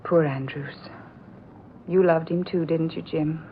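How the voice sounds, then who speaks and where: Low-voiced, troubled young niece. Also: female voice, steady tone, measured pace, a little hiss or hum. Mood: sorrowful. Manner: earnest, plaintive. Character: troubled, gentle young bride-to-be, polite niece. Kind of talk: 1940s radio acting. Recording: dull vintage radio drama recording. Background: music.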